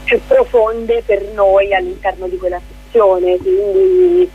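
A woman's voice: medium pitch, animated, takes it slowly, slightly rough, narrow, tinny audio, soft background music. A woman speaking as a guest theatre director in a conversational radio interview, explaining things discursively.

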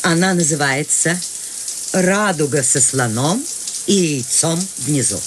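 An actress playing Maude, an eccentric, free-spirited older woman, lively and teasing, in a radio play. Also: sits low, takes it slowly, background noise.

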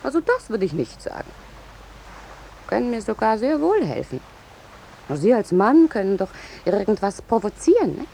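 An actress playing a pushy, self-important female war correspondent in a 1950s German radio play, talking in a brisk, insistent manner. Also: mid-range voice, sing-song, creaky, warm tone. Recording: noisy 1950s radio drama recording.

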